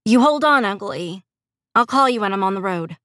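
A young female nurse from an audiobook, played by a woman narrator, caring and steely under tiredness. Her pitch lifts above a low narrating register, and the sound is dry and close. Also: lively intonation, a little fast, fairly bright tone, no echo, close to an everyday voice. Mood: urgent, resolute.

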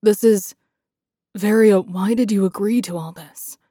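A proud male lieutenant, acted by a woman narrator in a fantasy audiobook. The delivery is intense and sardonic. The tone is soft and dark, with a close dry sound. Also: medium pitch, steady tone, unhurried, husky, no echo. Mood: guarded, earnest. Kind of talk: dramatic fantasy audiobook acting.

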